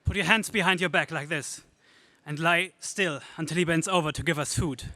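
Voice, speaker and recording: An actor playing a brave, decisive young hero in an earnest, stagey 1930s space radio serial style. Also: male voice, fairly high pitch, moderate pace, pushed and bright, no room sound. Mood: resolute.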